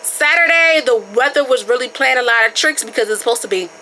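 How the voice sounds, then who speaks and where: A woman's voice: a little high, lively intonation, quick pace, sharp tone, slight background noise, low-quality recording. A young woman hosting her podcast, chatting casually and informally with her listeners while stuffed up from a starting cold. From Baltimore area. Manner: chatty, loose and informal, addresses listeners as y'all. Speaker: podcast host. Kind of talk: casual solo podcast chat.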